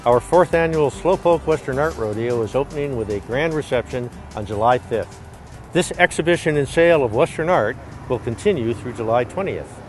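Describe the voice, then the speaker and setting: An older man presents an event as a gallery owner. His voice sits at a middle pitch, and he follows a promotional script over faint music. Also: lively intonation, moderate pace.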